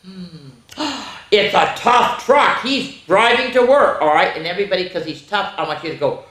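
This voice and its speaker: Female storytime reader for small children, highly animated and sing-song, addressing kids directly, recorded in a roomy, echoing space. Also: normal speed. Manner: expressive, exaggerated, sing-song with sound effects; chatty asides to young listeners. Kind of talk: animated read-aloud to young children. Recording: echoey room.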